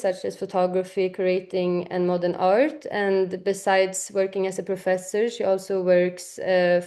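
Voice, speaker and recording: A woman student talking about her professors at a university event, with many pauses and fillers. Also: fairly low pitch, warm tone. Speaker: student speaker.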